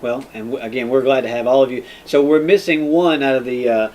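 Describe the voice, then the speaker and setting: A man with an American accent hosting a casual interview as a police sergeant, in an informal, halting way. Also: mid-range voice, fairly even intonation, darkish tone. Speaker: podcast host, police sergeant. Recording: noticeable background noise.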